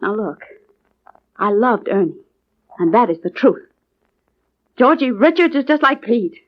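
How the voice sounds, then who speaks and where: An actress playing a defensive, pleading young widow in a 1950s radio crime drama, emphatic and indignant. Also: female voice, on the high side, sing-song, unhurried. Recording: muffled old radio recording.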